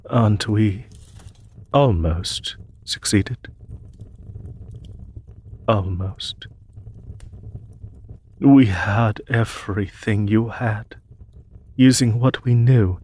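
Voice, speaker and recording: A man playing a solemn, wistful demon emperor in an audio roleplay, speaking in a husky voice with slow, measured, sorrowful pauses. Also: fairly low pitch, a little mellow. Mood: earnest, wistful. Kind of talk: scripted audio roleplay monologue.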